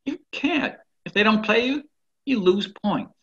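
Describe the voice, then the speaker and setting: A man's voice: sits high, animated, a little slow, a bit bright. An actor playing a fussy buddy who nitpicks and stammers over his corrections, heard through muffled call audio.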